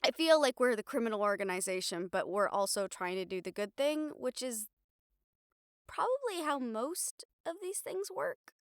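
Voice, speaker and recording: A woman's voice: on the high side, sing-song, moderate pace, clear, resonant tone, dry recording, clean studio sound. A woman playing a wry, daring young reporter in an intimate audio roleplay, talking to her partner in a sardonic, sighing, casually sweary way. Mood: wry, exasperated. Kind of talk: intimate audio roleplay acting, conversational monologue to the listener.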